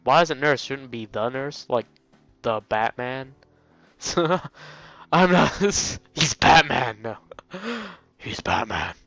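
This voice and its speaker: A man's voice: mid-range voice, lively intonation, slow pace, sharp tone, dry recording, highs cut off, faint music behind. A man hosting a let's play, reading a visual novel aloud and breaking off into jokey exclamations.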